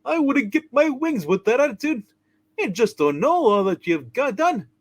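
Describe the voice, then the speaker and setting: Fussy old guardian angel, extremely high and swooping, chirpy, theatrical radio-play ham. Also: male voice, sing-song, normal speed, exaggerated voice. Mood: fussy, chiding. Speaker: channel co-host. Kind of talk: hammed-up radio play reading.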